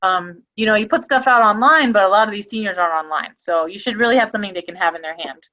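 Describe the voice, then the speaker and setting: A woman's voice: mid-range voice, fast, pushed and bright. A woman talks through her slides on a video call in a loose, conversational way, stopping now and then to restart a sentence. Her voice sits in the middle of the range. The audio is a little dull and muffled. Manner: conversational, with frequent ums and restarts. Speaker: webinar presenter on elder abuse prevention. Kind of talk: casual webinar presentation.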